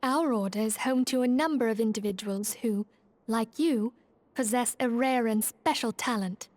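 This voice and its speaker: A woman playing a poised, gracious leader of a secret order in a fantasy game, speaking in calm, formal, measured phrases. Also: a little high, no echo, close to an everyday voice. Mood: solemn, explaining. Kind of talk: scripted fantasy game acting.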